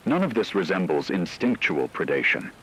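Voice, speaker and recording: An actor as a deep, grave male radio newsreader. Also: big pitch swings, pushed and bright, heavily acted. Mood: grave, measured. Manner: solemn, measured, formal. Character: grave male radio news anchor reporting on a catastrophe. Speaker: news anchor. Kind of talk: scripted radio news report. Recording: noisy, rain-like background ambience.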